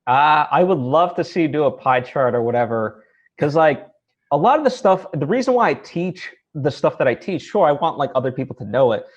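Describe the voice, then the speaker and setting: A man hosting a casual, reflective interview, talking in a halting way and repeating words as he reasons. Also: fairly high pitch, lively intonation, muffled recording. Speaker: interview host.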